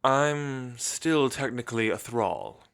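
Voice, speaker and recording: Slow-talking, suave ancient vampire played by a man. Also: mid-range voice, expressive, creaky, a bit bright, smooth, heavily acted. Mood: wry, languid. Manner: slow, theatrical, suave, with musing pauses. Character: centuries-old elegant male vampire, languid, teasing, world-weary. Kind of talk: ASMR roleplay monologue.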